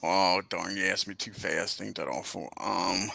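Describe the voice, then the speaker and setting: Man, gravelly, very slow, bright-edged, easygoing, casual stream chat. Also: deep voice, steady tone, slight background noise.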